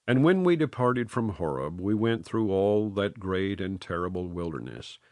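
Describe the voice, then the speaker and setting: A man reading scripture aloud in a measured, solemn way, phrase by phrase. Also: deep voice, dry recording, clean studio sound. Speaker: Bible reader.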